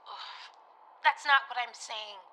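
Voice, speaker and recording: A woman's voice: fairly high pitch, takes it slowly, sharp tone, slight background noise, full character voice. A young woman acting a loyal, skeptical teenage would-be detective in an audio drama. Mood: skeptical, exasperated.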